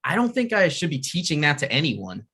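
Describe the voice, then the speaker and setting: A man's voice: fairly high pitch, big pitch swings, brisk, bright, forceful tone, slightly rough. A man reading his lines at a script table read, hesitant and self-deprecating, with stammers and restarts.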